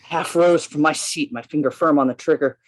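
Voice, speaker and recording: An actor in a radio play reading, playing an undercover policeman who tells his own story in a deliberate, theatrical manner. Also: medium pitch, close to an everyday voice. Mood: tense. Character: Gabriel Syme, tense undercover policeman telling his story. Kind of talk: dramatic first-person radio play narration. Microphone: video call.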